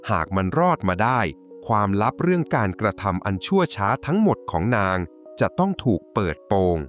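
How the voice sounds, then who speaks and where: A man narrating a folk tale from a script in a dramatic, suspenseful, expressive way. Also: fairly low pitch, moderate pace. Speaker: storyteller. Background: music.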